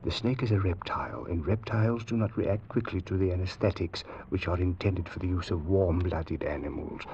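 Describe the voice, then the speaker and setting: A calm, formal doctor. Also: male voice, deep voice, slight background noise. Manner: formal, measured, precise. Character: calm, precise foreign doctor, formal and careful. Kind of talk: 1940s radio acting. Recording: dull old radio recording.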